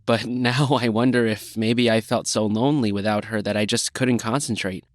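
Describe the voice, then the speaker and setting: A man's voice: lowish voice, fairly even intonation, moderate pace, no room sound, studio quality, lightly acted. A man playing an open, good-humoured teenage boy in an audio drama. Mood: wistful.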